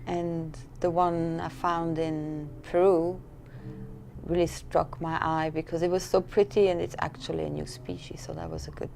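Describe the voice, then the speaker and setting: A woman from Switzerland who manages a museum's insect collections, explaining her work in a relaxed, matter-of-fact documentary interview. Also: low voice, moderate pace, vocal fry, a little hiss or hum.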